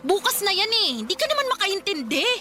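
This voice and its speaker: A woman's voice: very high-pitched, big pitch swings, quick pace. A woman playing an insistent, hurt girlfriend who demands to come first, in a Filipino radio drama. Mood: exasperated. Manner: emphatic, indignant, argumentative. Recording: music behind the voice.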